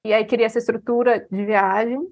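A woman's voice: on the high side, steady tone, brisk, a little mellow, no echo. A woman from Brazil, a writer chatting casually in an interview, rambling with many fillers. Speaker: author guest.

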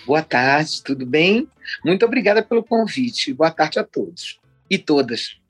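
A woman's voice: deep voice, big pitch swings, measured pace, slightly rough, soft background music. A woman in her sixties from Rio de Janeiro, a writer chatting as a guest in an interview, talking in long digressions and laughing often. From Rio de Janeiro, Brazil. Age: 60s. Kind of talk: casual interview chat.

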